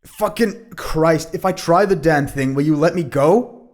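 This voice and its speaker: A man's voice: on the high side, a little slow, natural voice. A man voicing an angry, guilt-ridden, foul-mouthed teenage boy in an audio drama. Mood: exasperated. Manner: profane, bitter, exasperated.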